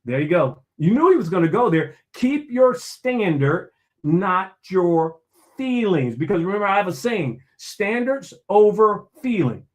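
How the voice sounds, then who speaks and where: A man delivering a motivational talk in a high, lively voice that swings up and down as he presses his points. He repeats key phrases for emphasis and answers his own questions, like a preacher. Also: measured pace. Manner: asks himself questions and answers them. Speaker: motivational speaker.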